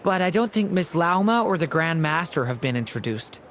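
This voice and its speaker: An actor voicing a polite, gentle being newly in human form in a game cutscene. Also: mid-range voice, lively intonation, normal speed, slight background noise, close to an everyday voice.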